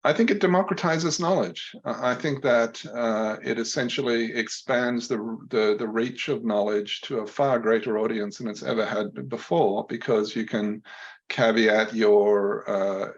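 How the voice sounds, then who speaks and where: A man on a panel speaking thoughtfully and hesitantly, with many pauses and fillers, over a video call. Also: medium pitch, steady tone, lo-fi sound.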